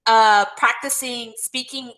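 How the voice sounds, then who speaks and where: A woman tutoring English in a casual one-on-one video-call conversation, speaking gently and encouragingly with frequent affirming murmurs. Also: fairly high pitch, fairly even intonation, slow.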